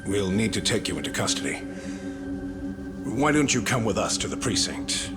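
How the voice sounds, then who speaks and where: An actor playing a firm police officer in a game drama, speaking with formal, dry authority. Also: male voice, on the low side, sing-song, normal speed. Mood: firm, official. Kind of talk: dramatic video game cutscene acting. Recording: very loud music behind.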